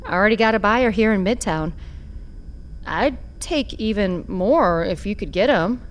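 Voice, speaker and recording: Slow, swooping, sardonic woman fixer played by an actress. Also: medium pitch, slight background noise, close to an everyday voice. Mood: businesslike, teasing. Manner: sardonic, unhurried, expressive. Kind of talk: audio fiction acting, noir sci-fi.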